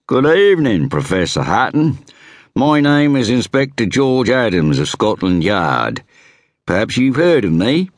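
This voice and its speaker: A man voicing a brash, self-assured Scotland Yard inspector with an East Anglian drawl and drawn-out vowels, in a swaggering, teasing manner for an audiobook. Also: medium pitch, sing-song, no echo, clean studio sound, pitched above the performer's own voice. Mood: sly, genial. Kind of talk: audiobook character voice.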